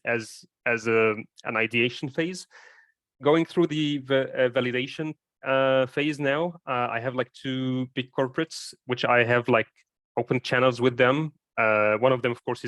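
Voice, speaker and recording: A man's voice: mid-range voice, fairly bright tone, no echo. A man from Egypt, a startup founder, introducing himself in a live online Q&A and talking hesitantly, with many fillers and restarts.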